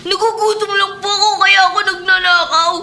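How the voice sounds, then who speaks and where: Very high-voiced pleading street child. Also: female voice, fairly even intonation, fairly quick, gravelly, very noisy. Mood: frightened pleading. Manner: whimpering, begging. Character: frightened, pleading young street child caught stealing. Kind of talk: Filipino radio drama acting. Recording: echoey studio, music underneath.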